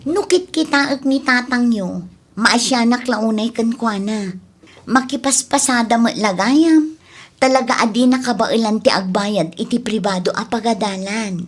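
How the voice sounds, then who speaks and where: A woman's voice: on the high side, a little slow. A woman playing a polite, reasonable young girlfriend in a radio drama, inquisitive and speaking with an Ilocano accent. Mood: curious, reasoning. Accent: Filipino (Ilocano).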